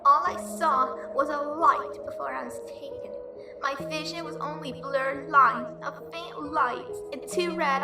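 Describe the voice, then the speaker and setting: Female actor, eerie lost child, lonely, frightened, high pitch, echoey, music behind. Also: creaky, recorded from a distance. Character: frightened, lonely eight-year-old lost girl, ghostly. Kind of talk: dramatic audio fan fiction monologue.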